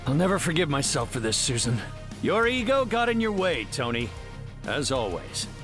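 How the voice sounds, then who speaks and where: An actor playing a brilliant, earnest middle-aged superhero scientist in a video game, with measured, formal, articulate speech. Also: male voice, a little high, big pitch swings, music in the background. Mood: regretful, stern.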